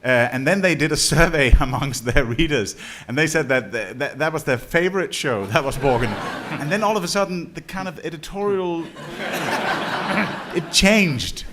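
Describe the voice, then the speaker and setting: A Danish television writer on a panel, telling a story with amusement and frequent laughter in English. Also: male voice, a little high, normal speed, bright, forceful tone, slight background noise. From Denmark. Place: on stage before an audience.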